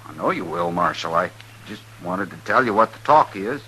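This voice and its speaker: A man's voice: deep voice, animated, moderate pace. An American actor playing a folksy, meddling, over-friendly frontier townsman in a 1950s radio Western, hesitant and ingratiating. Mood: uneasy, ingratiating. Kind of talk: 1950s radio drama acting. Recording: noisy vintage 1950s radio recording.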